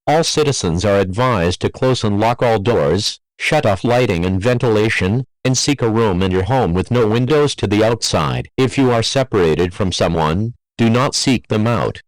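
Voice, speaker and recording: A man's voice: lowish voice, no echo, low-quality recording. A man's synthetic-sounding voice reading a scripted emergency alert in a formal, impersonal way.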